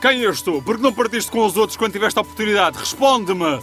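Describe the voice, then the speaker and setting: A man's voice: high voice, animated, fast talker, fairly bright tone. A man with a European Portuguese accent voicing a commanding old king in a dubbed cartoon, regal and questioning. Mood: stern demand. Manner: regal, interrogating. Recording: music behind the voice.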